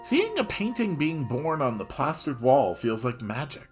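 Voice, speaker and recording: A man's voice: medium pitch, big pitch swings, unhurried, soft background music. A man reading a story aloud expressively, dropping in wry, casual asides of his own. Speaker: host reading a visual novel aloud.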